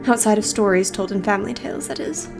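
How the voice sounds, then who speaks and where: A woman voicing a scholarly, precise woman from a video game in a measured, lecturing manner. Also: medium pitch, creaky, music in the background, close to an everyday voice. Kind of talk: video game character voice read aloud.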